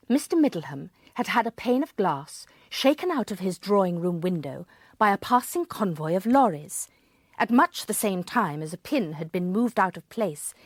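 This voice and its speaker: A woman's voice: mid-range voice, no echo, studio quality. An actress playing a conscientious, troubled woman who narrates a radio drama, with a British accent and precise, well-spoken delivery. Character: conscientious, troubled middle-class woman, narrator and reluctant confidante.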